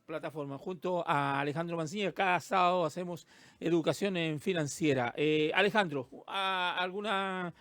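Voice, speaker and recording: A man hosting a radio talk show from a studio, giving time checks and asking long, halting questions. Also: on the high side, fairly bright tone, dry recording. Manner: brief affirmations, rambling halting questions. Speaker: radio host.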